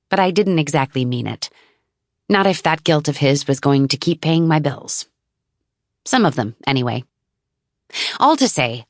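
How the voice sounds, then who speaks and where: Low-voiced woman narrating an audiobook. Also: no room sound, clean studio sound. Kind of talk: scripted first-person novel narration.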